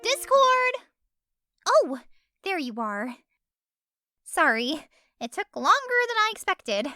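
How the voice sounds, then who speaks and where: Woman acting a high-voiced young pony princess. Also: sing-song, takes it slowly, pushed and bright, soft background music, full character voice. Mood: eager, apologetic. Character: Twilight, young pony princess, bright, polite, flustered. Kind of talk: animated-series fan audio drama.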